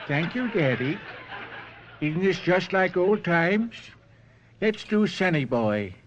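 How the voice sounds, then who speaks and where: An actor playing a vengeful, taunting body-stealing professor living in a ventriloquist's dummy, in a radio drama. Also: male voice, sits high, lively intonation, dull audio, a little hiss or hum. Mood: mock childish, needling. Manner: taunting, theatrical, slow and deliberate.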